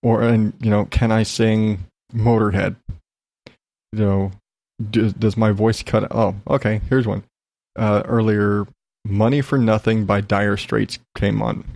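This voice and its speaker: A man's voice: on the low side, little pitch movement, a little slow, creaky, darkish tone, dry recording, clean studio sound. A man hosting a solo podcast, chatting in a rambling, frank, sweary way, slightly congested as he gets over an illness. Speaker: podcast host. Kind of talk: casual solo chat, rambling personal update.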